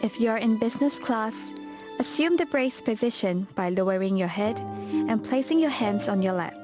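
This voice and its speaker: High-voiced woman narrating over loud music. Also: lively intonation, moderate pace. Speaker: safety video narrator. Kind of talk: scripted instructional narration.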